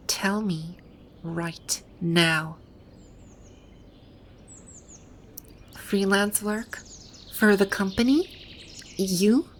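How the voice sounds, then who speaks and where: A woman's voice: fairly low pitch, slight background noise. A woman voicing a haughty, bossy video-game villainess who stammers and halts when flustered, in an ASMR roleplay. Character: haughty, flustered female video-game boss brought to life. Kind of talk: ASMR roleplay audio drama.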